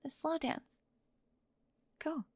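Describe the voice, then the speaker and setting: A woman playing an anxious, perfectionist teenage girl in an ASMR roleplay, halting and fragile, often dropping into a breathy whisper. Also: darkish tone, no echo. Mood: fragile, pleading. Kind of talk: ASMR roleplay audio drama.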